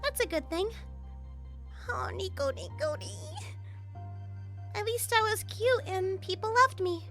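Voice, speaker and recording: A woman's voice: very high voice, expressive, unhurried, long pauses, a bit bright, faint music behind, pitched above the performer's own voice, heavily acted. A woman doing a sweet, cute idol girl.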